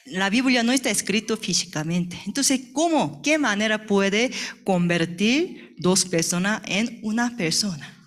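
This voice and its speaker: A woman with a Korean accent teaches from the Bible as a workshop speaker. She speaks slowly at a middle pitch, in halting, simple phrases. She often drops in short questions to check that listeners follow. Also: lively intonation. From South Korea.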